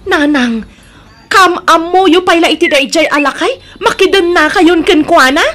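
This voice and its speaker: A woman's voice: extremely high pitch, normal speed, crowd noise. A woman acting as a worried, exasperated adult daughter in a comedic radio drama, pleading and reproachful. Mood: coaxing.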